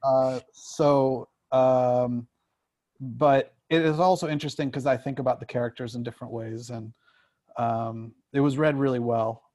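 A man's voice: medium pitch, steady tone, unhurried, darkish tone. A screenwriter, a man, reflecting casually and a little hesitantly as he takes notes on his work.

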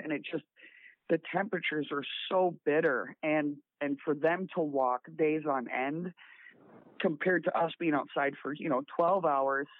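Raspy man on a tinny phone line. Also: fairly high pitch, no echo. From South Dakota. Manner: halting, with many restarts. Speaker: guest volunteer. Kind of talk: casual phone interview.

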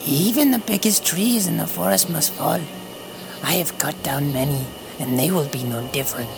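A woman playing a small, solemn, vengeful male forest creature in an audio drama, with earnest, stilted speech and a gravelly voice. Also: low voice, moderate pace. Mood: earnest resolve.

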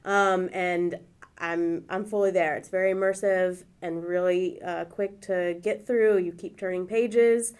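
A woman's voice: lowish voice, steady tone, unhurried, darkish tone, smooth. A woman co-hosting a library podcast, chatting casually about a book she is reading, with fillers. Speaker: podcast co-host.

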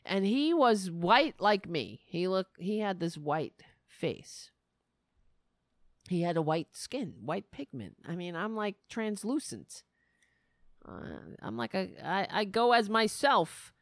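A woman's voice: mid-range voice, very animated, slow pace, no room sound, clean studio sound. A woman from Staten Island, telling a rambling, profane comic story in a casual monologue and laughing at her own jokes. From Staten Island, New York.

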